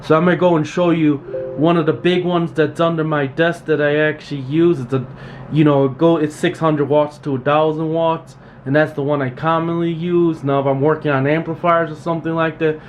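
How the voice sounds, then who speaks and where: Plain-spoken man explaining gear, noisy background. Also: fairly high pitch. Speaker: radio repair technician hosting a tool tutorial. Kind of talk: informal unscripted instructional talk. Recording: steady background noise, room ambience.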